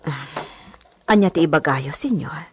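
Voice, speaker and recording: A woman playing a meek, evasive housemaid in a radio comedy drama, husky, hesitant and deferential. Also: low voice, expressive, normal speed, background noise. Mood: timid, evasive.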